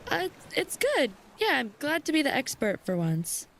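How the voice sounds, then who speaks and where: Woman acting an anxious young niece, high-pitched. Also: wildly swooping pitch, normal speed, a little hiss or hum, heavily acted. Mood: pleading. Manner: hesitant, evasive. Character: anxious, defensive young niece captaining a small boat. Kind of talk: audio drama dialogue.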